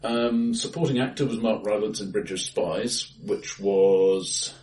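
A man with a lowish voice chats about films as a podcast host, measured and analytical with some hesitation. He sits in an echoey, bright-sounding room, some way from the mic. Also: far from the mic. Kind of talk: casual film discussion. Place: indoors, reverberant room, face to face.

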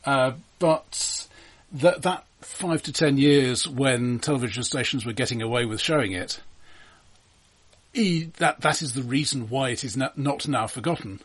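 A man with a husky voice co-hosting a film podcast, talking off the cuff in a hesitant, stammering, wryly critical way. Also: medium pitch, measured pace. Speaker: podcast host. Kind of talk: unscripted film discussion.

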